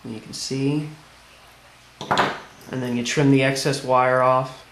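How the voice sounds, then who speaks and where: A man's voice: medium pitch, level tone, measured pace. A man giving a casual hands-on how-to demonstration, explaining plainly step by step. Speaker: tutorial presenter. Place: indoors at a tiled tabletop. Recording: echoey room, background noise.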